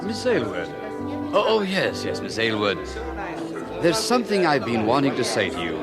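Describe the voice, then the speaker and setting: An actor playing a hesitant, fervent church minister in a radio drama, halting and then emphatic. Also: male voice, medium pitch, wildly swooping pitch, over loud music. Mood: hesitant, urgent.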